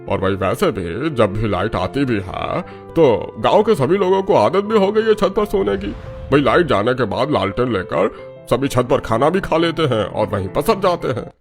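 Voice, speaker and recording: A man's voice: mid-range voice, big pitch swings, normal speed, soft background music. A man voicing a grumbling, dismissive village elder in a Hindi cartoon, with a rustic, chiding, colloquial manner. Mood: folksy. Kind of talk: Hindi cartoon story dialogue.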